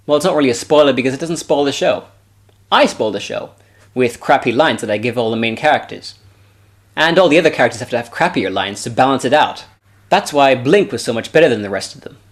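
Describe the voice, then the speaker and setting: A glib and animated time-travelling hero, played by a man, talks in long mock-serious explanations. He is zany and self-mocking, with lively pitch, as in an amateur sci-fi spoof. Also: natural voice. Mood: flippant. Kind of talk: amateur scripted sci-fi parody.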